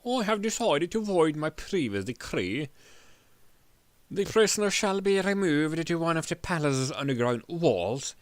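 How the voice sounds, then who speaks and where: Man putting on a pompous, high-pitched cruel old king. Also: big pitch swings, measured pace, dry recording. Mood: pompous, smug. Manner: pompous, declamatory.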